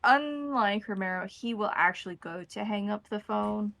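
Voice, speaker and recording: A woman running a tabletop roleplaying game as game master, narrating in a measured, deliberate way. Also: medium pitch, vocal fry, fairly bright tone, a little hiss or hum.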